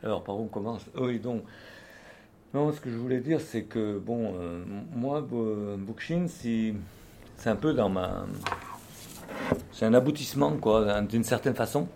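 A man's voice: fairly low pitch, unhurried, a little mellow, slight background noise. Hesitant older man musing aloud. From France. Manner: hesitant, many fillers and restarts. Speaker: activist speaker. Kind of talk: unscripted spoken reflection. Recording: faint background ambience.

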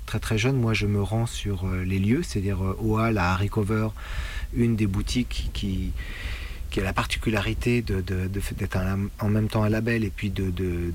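A man's voice: deep voice, a little hiss or hum. A middle-aged man from France reminiscing in a documentary interview, searching for words and repeating himself as he goes. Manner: halting, searching for words with repetitions. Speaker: punk musician interviewee. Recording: loud music behind the voice.

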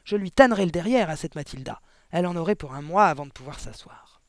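A monstrous middle-aged storybook headmistress, played by a man, bullying and full of spite. The voice is pushed high and barks out each phrase with emphatic scorn. The sound is close and dry. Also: lively intonation, fairly quick, dry recording. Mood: furious, menacing.

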